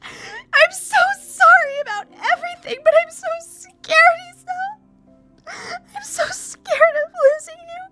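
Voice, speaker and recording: A woman's voice: normal speed, creaky, a little mellow, faint music behind, low-quality recording. A woman voicing a proud but scared teenage girl from a visual novel, raw and emotional. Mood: sobbing, pleading. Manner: raw, emotional, high-pitched outbursts and pleading. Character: wounded, fiery teenage girl, proud yet scared and vulnerable.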